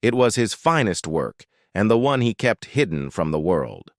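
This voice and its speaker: A man narrating a scripted dramatic story in a measured, dramatic way. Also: lowish voice, very animated, no room sound, clean studio sound.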